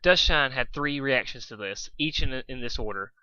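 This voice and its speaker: A man's voice: mid-range voice, moderate pace, pushed and bright, smooth, no room sound, lo-fi sound. A man reading fan fiction as an informal dramatic storyteller, often stumbling over his words.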